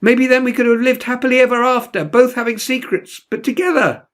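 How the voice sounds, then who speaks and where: A man's voice: very high-pitched, steady tone, brisk, a bit bright, pitched above the performer's own voice. A man voicing a heartbroken, raging teenage boy with magic, bitter and pleading, in a storybook reading. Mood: bitter regret. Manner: bitter, pleading, self-questioning.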